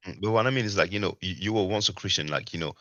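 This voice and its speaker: Deep-voiced, fast-talking man with a non-native accent. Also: bright, forceful tone, no echo. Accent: non-native English. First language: not English. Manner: hesitant, halting, repeats words. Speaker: host, interviewer. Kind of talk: casual question-and-answer chat. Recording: dull, muffled lo-fi mic.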